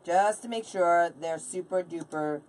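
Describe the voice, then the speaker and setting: A woman's voice: on the low side, a little slow, heavy background noise. Chatty woman in her forties, distant mic. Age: late 40s. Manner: chatty, informal, banters with viewers and helper. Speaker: craft livestream host. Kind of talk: casual live chat while crafting.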